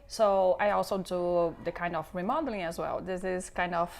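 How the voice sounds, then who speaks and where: A woman's voice: fairly low pitch, moderate pace, vocal fry. Chatty Brazilian woman, Portuguese accent. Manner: chatty, restarts and repeats words, laughs often. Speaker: interior designer, guest. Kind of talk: interview.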